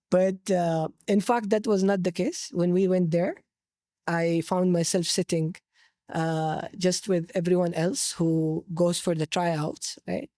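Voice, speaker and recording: A man with a Saudi Arabic accent, telling his personal story conversationally as an interview guest, pausing to search for words. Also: high-pitched, level tone, warm tone, dry recording, studio quality. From Jeddah, Saudi Arabia.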